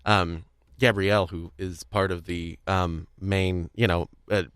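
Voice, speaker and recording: A young man with a fairly low voice chats casually as a podcast host. He talks in long, winding, hedged sentences. The sound is very dry, with no room echo. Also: fairly even intonation, unhurried, fairly bright tone, smooth. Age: young adult. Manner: rambling, hedging, wordy. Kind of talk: casual chat about audio drama craft.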